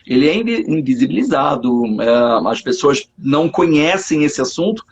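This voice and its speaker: A man, hoarse, speaking as a psychologist guest in a casual live interview, hesitant and full of restarts. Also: a little high, lively intonation, normal speed, dull audio. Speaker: psychologist and sex therapist guest.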